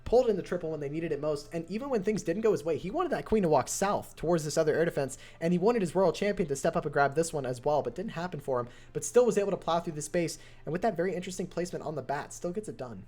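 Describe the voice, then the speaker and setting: A young man with a voice going hoarse, calling a mobile strategy game match on his live stream. His play-by-play is excitable and builds to near shouts at close finishes. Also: a little high, fast, hardly any pauses. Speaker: esports caster and streamer.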